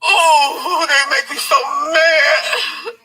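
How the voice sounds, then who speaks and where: A woman speaks in a high and very sharp voice, upset and close to crying. She gives a raw, unscripted account, stressing and repeating her points. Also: extremely slow, rough recording. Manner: emphatic, repeats herself insistently. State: upset, tearful. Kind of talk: distraught, unscripted spoken account.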